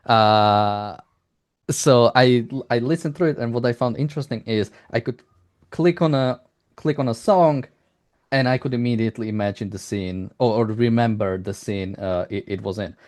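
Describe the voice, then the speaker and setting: A man interviewing a developer in a conversational style, with halting, hesitant phrasing. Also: mid-range voice, a little mellow.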